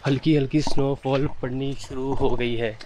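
A man's voice: medium pitch, steady tone, moderate pace, darkish tone, slight background noise, low-quality recording. A man, a travel vlogger talking casually and curiously, asking questions and repeating words. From Patna, Bihar, India. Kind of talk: casual chat. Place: small village house and snowy lanes outside. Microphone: handheld camera at arm's length.

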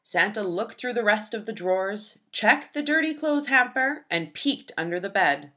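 A woman reading a children's storybook aloud with expressive, dramatic emphasis. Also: mid-range voice, normal speed. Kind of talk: expressive scripted read-aloud narration for young children.

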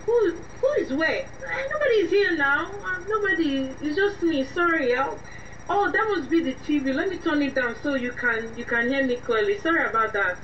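Brief: a woman as a tearful girlfriend in a radio drama, high voice, muffled lo-fi take. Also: animated, normal speed, fairly bright tone. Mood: flustered. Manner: flustered, pleading, voice cracking with tears. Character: young woman secretly hiding her lover from her mother. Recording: slightly distant, muffled, faint hiss.

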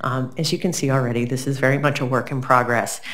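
Woman, middle-aged, low voice, slightly froggy from a cold, reading a paper, earnest, occasional ums. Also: steady tone, a bit bright. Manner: measured, earnest, with occasional "um" fillers. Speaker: keynote lecturer. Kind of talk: reading a prepared lecture paper. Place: university lecture hall.

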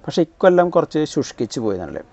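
A man narrating a casual vlog, often halting to search for words. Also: a little high, huge pitch swings, mellow, slightly rough, lo-fi sound. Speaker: vlogger. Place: outdoors on a seaside breakwater at night. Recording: noisy outdoor phone recording.

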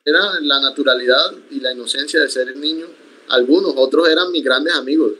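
A young man whose native language is Iku speaks slowly and thoughtfully as an interview guest. He often stops and restarts his phrases while he explains things. The sound comes from a video call made at home. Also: medium pitch, sharp tone, poor audio. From Sierra Nevada de Santa Marta, Colombia. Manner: measured, reflective, with frequent restarts. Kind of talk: conversational interview. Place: home room, indoors.

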